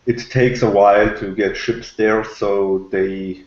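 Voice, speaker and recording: A man reviewing on a podcast in casual discussion, talking in a halting, argumentative way. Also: on the low side, steady tone, reverberant, dull audio.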